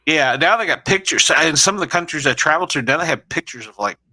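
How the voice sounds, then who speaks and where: Male co-host, very bright tone, dry close sound, relaxed joking chat. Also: a little high, quick pace, no echo. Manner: easygoing, jokey, laughs often. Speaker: photographer. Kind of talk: casual chat.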